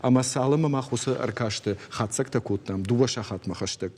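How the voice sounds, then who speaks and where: A man's voice: mid-range voice, fairly quick, a little mellow. A man with an Ossetian accent talking unscripted in an interview, often searching for his words. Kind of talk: unscripted studio interview talk. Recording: echoey room.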